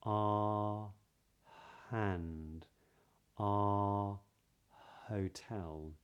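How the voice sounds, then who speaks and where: A man with a southern British English accent giving a pronunciation lesson as a voice coach, explaining clearly and modelling sounds. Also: fairly low pitch, steady tone, very slow, muffled recording. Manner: clear, demonstrative, breathy example sounds.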